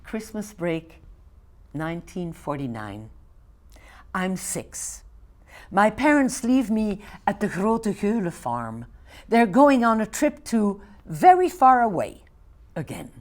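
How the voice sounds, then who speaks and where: A woman in her eighties from Belgium, a native Flemish speaker with a Flemish accent, reading her poetry softly and with measured care, sometimes dropping to a whisper. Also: mid-range voice, very animated. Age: 80s. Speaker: poet.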